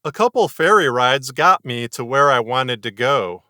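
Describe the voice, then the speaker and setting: A man delivering scripted writing advice as a writer and podcaster, in a measured, articulate and emphatic way. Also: mid-range voice, expressive, pushed and bright, dry recording, studio quality. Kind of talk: scripted instructional narration to camera.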